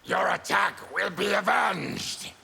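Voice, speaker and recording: An actor playing a fierce, proud, embittered mutant warrior in a fighting game, growling and deliberate. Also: male voice, sits high, background noise, exaggerated voice. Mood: fierce, defiant. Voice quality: gravelly, growling. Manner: menacing, deliberate. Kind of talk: fighting game character dialogue.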